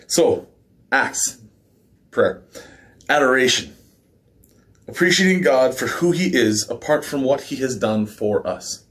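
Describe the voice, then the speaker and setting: A man in his thirties, slightly hoarse, hosting a casual men's devotional from his living room, talking in a relaxed way with fillers and small asides. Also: medium pitch. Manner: relaxed and conversational, with filler words and small laughs. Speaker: men's ministry devotional host. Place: living room by a fireplace, indoors. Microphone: fixed camera at mid distance.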